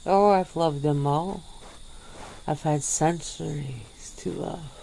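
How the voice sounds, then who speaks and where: Woman doing an ancient vampire lady, low and gravelly, very slow, languid and aloof. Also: slight background noise, full character voice, low-quality recording. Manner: slow, low, drawn-out purring delivery with soft laughs. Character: centuries-old female vampire, languid and aloof. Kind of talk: ASMR roleplay.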